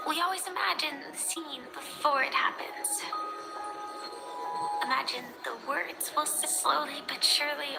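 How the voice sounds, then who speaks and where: An actress playing a gentle, shy young woman in a game, softly breathy and hesitant. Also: high-pitched, rough recording. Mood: tender, wistful. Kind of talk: game voice acting. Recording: loud music behind the voice.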